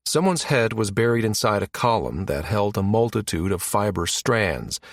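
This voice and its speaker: Low-voiced male audiobook narrator, close dry sound. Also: no room sound. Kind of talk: scripted narration of a science fiction story.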